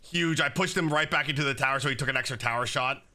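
A young man with a slightly raspy voice from being sick, chatting casually while commenting on his own gameplay at a desk setup. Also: mid-range voice, quick pace, bright, forceful tone. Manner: casual, chatty, many fillers like "like" and "um". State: slightly raspy, recovering from illness. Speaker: gaming YouTuber. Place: home gaming setup, indoors.

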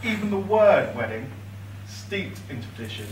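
A young man in his late twenties giving a best man's speech from notes at a wedding reception, with a dry, teasing delivery and pauses for laughter. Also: high voice, sing-song, slight background noise. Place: large reception room with high ceiling, indoors. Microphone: far camera across the room, no mic. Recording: echoey room from far off. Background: guests laughing, room ambience.

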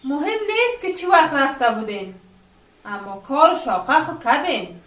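Lady acting earnest teacher in radio play, explains firmly, lively pitch, bit off mic. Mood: mildly reproachful. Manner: didactic, explanatory. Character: earnest village schoolteacher, adult woman, gently firm. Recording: echoey room, distant from mic.

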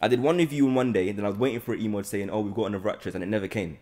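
A young man with a London accent and a slightly low voice, talking quickly. He hosts a relaxed podcast chat in a playful way, often repeating phrases for emphasis. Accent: London British. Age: young adult. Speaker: podcast host, actor. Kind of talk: casual chat interview. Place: bright indoor open-plan room on a sofa. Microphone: close podcast mic on boom arm.

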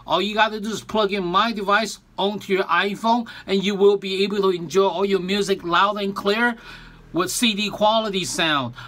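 A man in his forties giving a halting, repetitive product demonstration as presenter and seller. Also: high voice, pushed and bright, no room sound. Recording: music playing behind the voice. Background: music.

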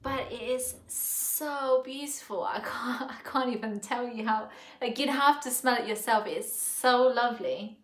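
A woman's voice: fairly high pitch, unhurried, hardly any pauses. A woman in her forties from Thailand, reviewing a perfume in a casual, musing way, with many fillers and restarts, recorded at home on a sofa. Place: living room sofa, indoors. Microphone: camera in front at close range. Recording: echoey room.

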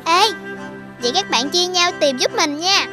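A woman's voice: very high-pitched, expressive, measured pace, music in the background. A woman voicing an eager, talkative young boy in a cartoon. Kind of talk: cartoon voice acting.

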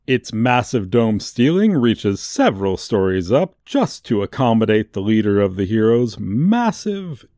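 A man playing a theatrical, sardonic supervillain posing as a tour guide in a comedic audio roleplay, with showy drawn-out emphasis and dry asides. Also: on the low side, very animated, mellow, no echo. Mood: showy, sly. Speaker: voice actor. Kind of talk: comedic ASMR roleplay monologue.

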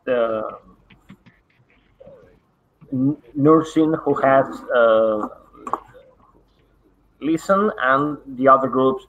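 A man's voice: mid-range voice, fairly bright tone. A middle-aged man from Argentina with a Spanish accent, presenting over a video call in hesitant English full of fillers. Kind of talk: online conference presentation. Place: home study with bookshelves. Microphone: webcam video call. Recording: dull lo-fi video call audio.

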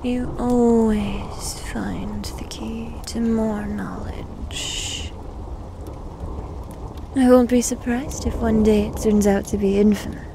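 A woman's voice: on the high side, fairly even intonation, background noise. Woman acting whispery, teasing succubus demon girl. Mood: admiring, coy. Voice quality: breathy, mostly whispered. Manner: coy, teasing, slow and drawn-out. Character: needy, teasing, doting female succubus demon servant. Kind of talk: intimate ASMR roleplay. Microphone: close ASMR microphone.

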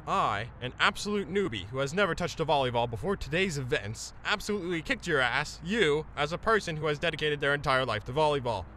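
A man's voice: fairly high pitch, animated, brisk, hardly any pauses, sharp tone, slight background noise. A man voicing a melodramatic, haughty teenage boy in a comedic anime parody dub, theatrical and indignant. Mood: cold boasting. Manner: theatrical, indignant, self-important.

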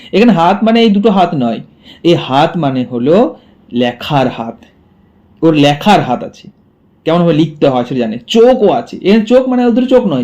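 A man's voice: fairly high pitch, warm tone. A male teacher explains a story line by line, repeating phrases and posing questions to himself, on dull, muffled audio. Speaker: school literature teacher.

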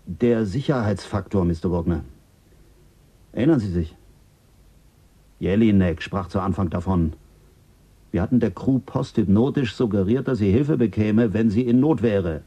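A dark, husky man questions pressingly and firmly in a lowish voice. He sounds insistent, a radio play interrogator. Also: moderate pace, long pauses. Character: probing male interrogator, Lloyd.

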